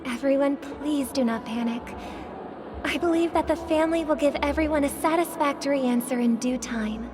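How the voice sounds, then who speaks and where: A woman's voice: sits high, normal speed, natural voice. An actress playing a poised, gracious young female celebrity in a video game, speaking in a composed, formal way. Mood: polite, reassuring. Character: poised, gracious young celebrity songstress with a weary, smug undertone. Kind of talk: scripted video game dialogue.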